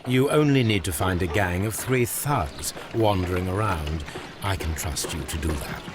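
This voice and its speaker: A British man playing a composed, authoritative Victorian detective in a video game, speaking in a measured, formal, deliberate way. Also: low voice, music in the background, natural voice. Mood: cool, assured. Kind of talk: dramatic video game acting.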